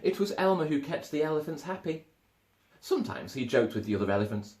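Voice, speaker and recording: Animated man reading a children's story. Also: on the high side, a little fast, slight background noise. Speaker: storybook reader. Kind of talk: expressive children's story narration. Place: small living room, indoors. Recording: echoey living room.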